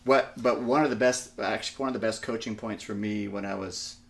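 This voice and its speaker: A man's voice: lowish voice, fairly even intonation, a little fast, creaky. A man talking casually as a co-host in a conversational discussion, with faint ambient noise behind him. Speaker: co-host with executive experience.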